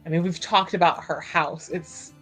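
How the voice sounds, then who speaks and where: A woman's voice: on the low side, steady tone, moderate pace, fairly bright tone, soft background music, low-quality recording. A woman playing a tabletop roleplaying game, chatting informally with some swearing as she thinks aloud and narrates her character.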